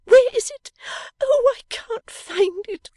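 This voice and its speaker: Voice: very high-pitched, expressive, slow pace, dark tone, no room sound, voice pushed up, full character voice. A reader voicing a silly, tearful young lady who gushes breathlessly while sobbing. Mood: tearful gushing.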